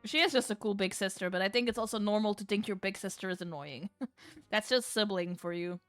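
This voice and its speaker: A woman's voice: medium pitch, a little fast, fairly bright tone. A woman streamer reading a visual novel aloud like a storybook, dropping in casual, playful, sweary asides. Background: music.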